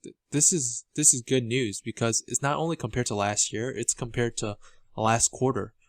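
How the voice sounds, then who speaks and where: A man giving informal financial commentary as a video host, halting and often repeating words as he explains. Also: medium pitch, fairly even intonation, no room sound, clean studio sound. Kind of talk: informal financial commentary over documents on screen.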